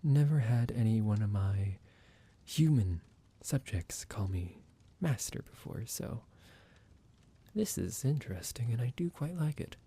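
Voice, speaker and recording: A man playing a slow, creaky, possessive vampire doctor. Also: mid-range voice, animated. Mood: condescending, amused. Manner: measured, formal, menacing, softly commanding. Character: psychotic male vampire doctor, possessive, condescending captor. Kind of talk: ASMR roleplay acting.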